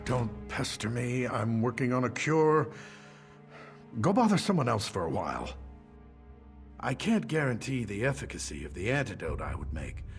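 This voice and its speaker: A man's voice: medium pitch, very animated, moderate pace, soft background music. An actor playing an irritable, brusque male alchemist in a fantasy video game, with a fussy, learned manner. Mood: annoyed.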